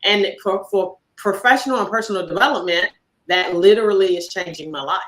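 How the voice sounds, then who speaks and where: A woman hosting a casual interview chat, animated and repeating phrases for emphasis. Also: medium pitch, a little fast, low-quality recording. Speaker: podcast host.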